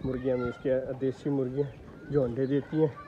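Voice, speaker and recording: A young man vlogging his poultry yard on a phone held at arm's length, chatting and calling out loudly to his birds. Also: medium pitch, little pitch movement, a little fast. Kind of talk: casual selfie vlog. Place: rooftop poultry yard outdoors, light rain. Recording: dull phone audio with outdoor noise.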